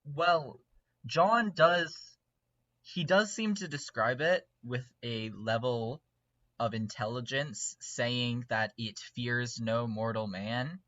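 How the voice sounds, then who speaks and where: A man chatting casually as a podcast lore guest, with an excitable, mock-serious, giggly way of talking. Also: medium pitch, takes it slowly, lo-fi sound. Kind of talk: casual chat.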